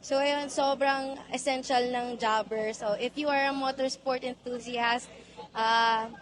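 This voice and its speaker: A woman's voice: sits high, fairly even intonation, slow, crowd noise, poor audio. A woman with a Filipino accent giving a casual driver's testimonial, conversational and often searching for the right word. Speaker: drift driver. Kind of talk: casual product testimonial, Taglish.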